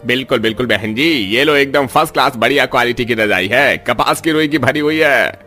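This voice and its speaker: A pushy cartoon street hawker, played by a man, with a bright, forceful voice at medium pitch. He calls out his wares and smoothly assures buyers. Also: fairly quick, soft background music, close to an everyday voice. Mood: persuasive. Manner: calling out, assuring.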